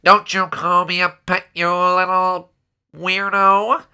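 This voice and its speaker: Guy doing indignant captive sidekick in a cartoon, really sharp tense voice, bit creaky. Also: high-pitched, flat delivery, takes it slowly, exaggerated voice. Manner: protesting, emphatic. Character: indignant, timid captive friend of the hero.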